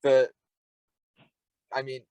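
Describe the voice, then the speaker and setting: Young Finnish man, relaxed chat. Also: extremely slow, dry recording, lo-fi sound. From Finland. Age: young adult. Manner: laid-back, agreeable, many fillers like "you know". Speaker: podcast guest, hockey prospect. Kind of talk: casual interview chat. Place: home in Finland.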